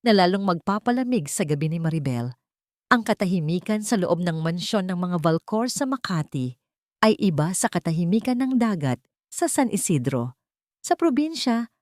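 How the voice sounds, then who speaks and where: A woman's voice: on the low side, no room sound, clean studio sound. A woman with a Filipino accent reading a scripted audiobook story in a dramatic narrating style.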